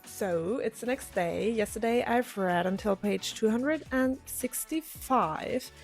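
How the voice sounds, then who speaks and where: A woman's voice: a little high, slow, faint music behind. A woman book vlogger talking to camera in a casual, chatty, enthusiastic way.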